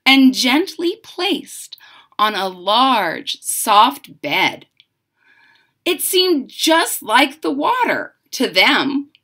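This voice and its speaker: Animated middle-aged woman reading to children. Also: high voice. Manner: dramatic, deliberate, explains things simply to young listeners. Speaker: storyteller reading a picture book aloud to children. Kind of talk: expressive children's read-aloud with explanatory asides. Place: indoors, small home room.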